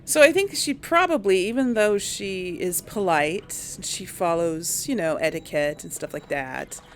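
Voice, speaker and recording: Woman musing casually with a creaky voice. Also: on the low side, animated, a little slow, soft background music. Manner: musing, talks to herself and the audience. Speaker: let's play host. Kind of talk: casual game commentary with some role play.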